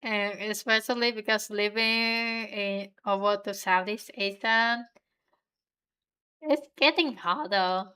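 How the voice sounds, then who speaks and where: Bright, crisp-voiced young Vietnamese woman streamer. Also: medium pitch, slow. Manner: relaxed, giggly, chatting to viewers. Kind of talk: casual stream chat while drawing.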